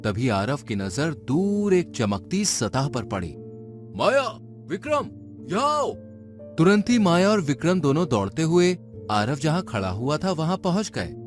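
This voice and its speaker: A man's voice: on the high side, big pitch swings, moderate pace, a little mellow. A man narrating a scripted dramatic story in a suspenseful storytelling manner. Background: background music.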